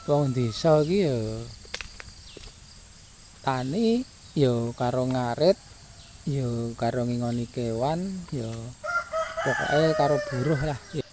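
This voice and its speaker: A man's voice: mid-range voice, a little mellow. A man from rural Java with a Javanese accent, narrating his daily chores in a casual vlog, often repeating himself and tagging phrases with a questioning filler. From rural Java, Indonesia. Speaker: village vlogger, farm labourer. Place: outdoors by a goat pen in a village. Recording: noisy outdoor village ambience.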